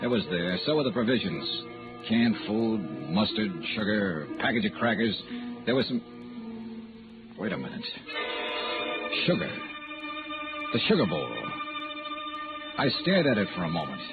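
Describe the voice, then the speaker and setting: A man's voice: fairly low pitch, sing-song, unhurried, pauses often. An actor playing a hardboiled male investigator in old radio noir, with a clipped, wry, dramatic delivery. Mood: brooding, intent. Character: hardboiled male insurance investigator narrating his own case. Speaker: lead detective and narrator. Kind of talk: 1940s-50s radio noir acting. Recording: muffled old radio recording with music.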